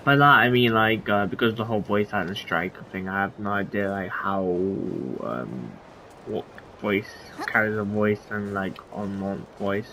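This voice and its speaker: A man giving casual, rambling, halting commentary on the game he is playing. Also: lowish voice, noisy background. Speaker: gamer commentating on his play. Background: game music.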